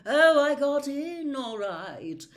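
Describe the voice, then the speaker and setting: A haughty older lady seer from a storybook. The voice sits high and shrill, and it drags words out very slowly with theatrical, mystical weight. She hems defensively, as if her dignity is at stake. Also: big pitch swings, exaggerated voice. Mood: affronted, flustered. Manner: shrill, drawn-out, dramatic, hesitant hemming.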